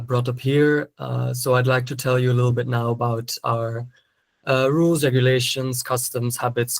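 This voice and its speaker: A man's voice: medium pitch, vocal fry, no echo. A young man from Belgium giving newcomers practical tips in a webinar, in an easygoing, explanatory way with many fillers. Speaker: student association volunteer giving arrival tips. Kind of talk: webinar briefing.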